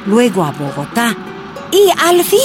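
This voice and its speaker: Animated, determined activist recounting her story. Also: a little high, a little slow, over music. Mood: resolute. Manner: plain first-person storytelling. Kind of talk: radio drama narration.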